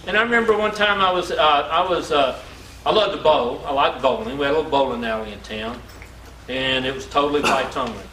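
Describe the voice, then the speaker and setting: A man's voice: on the high side, brisk. A man, telling folksy personal stories to an audience in an inspirational talk, acting out the dialogue. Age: 60s. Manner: folksy, animated storyteller with repetition and acted-out dialogue. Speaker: speaker telling his life story to an audience. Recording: roomy, distant mic, faint background noise.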